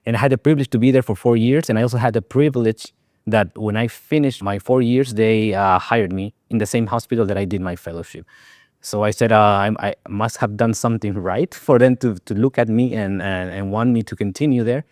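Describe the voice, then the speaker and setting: A man's voice: on the low side, a little fast, a little mellow, no room sound, clean studio sound. A man from Guatemala speaking English as a second language after Spanish, a doctor telling his career story in an interview, with many fillers and restarts. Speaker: allergist guest. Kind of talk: conversational interview.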